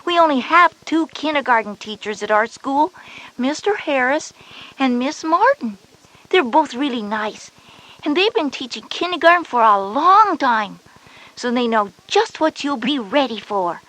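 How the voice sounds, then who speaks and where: A woman's voice: high-pitched, expressive, measured pace, fairly bright tone, poor audio. A woman playing a knowing, reassuring older sister of school age in a children's educational audio drama.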